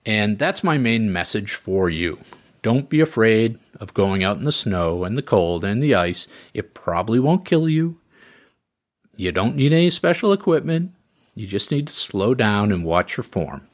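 A middle-aged man from New England hosting a podcast in a relaxed, folksy, encouraging way. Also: fairly low pitch, moderate pace. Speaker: podcast host. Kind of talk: podcast monologue and interview.

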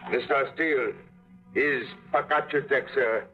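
An actor playing a proud, stoic male Inca digger, speaking slowly in clipped, broken phrases in an old radio drama. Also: mid-range voice, fairly even intonation, husky, faint music behind. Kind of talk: 1950s radio drama acting. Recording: tinny old radio broadcast.

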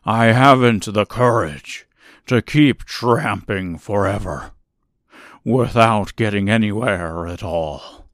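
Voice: medium pitch, slow pace, dry recording, clean studio sound, close to an everyday voice. An adult doing a whimpering, cowardly male lion. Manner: whimpering, faint-hearted. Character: whimpering, timid male lion lacking courage. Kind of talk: storybook character voice.

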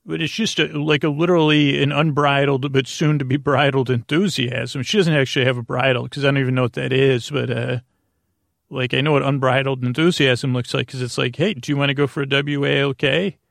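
Casting: male podcast host for casual bedtime monologue, mid-pitched and gravelly, meandering and halting, very dry close sound. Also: fairly even intonation, dry recording. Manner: meandering and halting, trails off into tangents.

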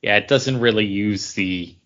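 A man's voice: fairly low pitch, unhurried, fairly bright tone, dull audio. A man co-hosting a podcast, explaining and reviewing in casual chat. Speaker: podcast co-host reviewing comics.

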